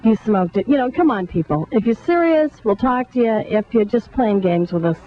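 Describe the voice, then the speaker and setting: A woman's voice: on the high side, animated, brisk, a little hiss or hum. A woman hosting a live call-in radio show, fielding listeners' calls. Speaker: radio talk show host. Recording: muffled lo-fi radio recording.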